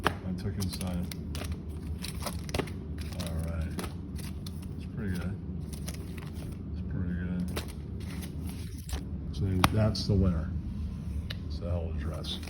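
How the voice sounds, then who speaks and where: A man's voice: deep voice, fairly even intonation, takes it slowly, long pauses, very noisy. A man muttering offhand, casual commentary, mumbling and sometimes swearing. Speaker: host of a homemade puppet show.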